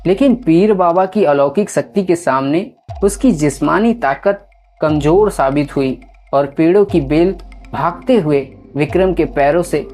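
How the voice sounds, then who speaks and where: Woman narrating a scary story, really slow, music underneath. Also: low-pitched, warm tone. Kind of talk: scripted horror story narration.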